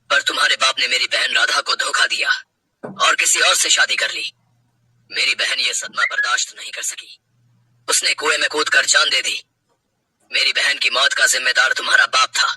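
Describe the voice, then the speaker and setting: A vengeful bandit, acted by a man, with a very forceful, sharp edge and a heavily rasping voice. He speaks with bitter menace in dramatic story style, close and dry. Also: medium pitch, no room sound, poor audio. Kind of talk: dramatic Hindi audio story acting.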